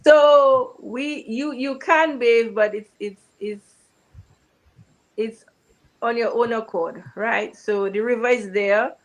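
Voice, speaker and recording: A woman's voice: on the high side, takes it slowly, a little hiss or hum. A woman, an event organizer answering questions reassuringly. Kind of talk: interview answers.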